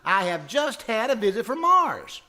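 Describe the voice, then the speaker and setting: A man playing a boastful, folksy, excitable husband in old-time radio comedy, blustering and hesitating. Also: sits high, a little slow, a crowd in the background. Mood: proud, friendly. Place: live before an audience at a winery.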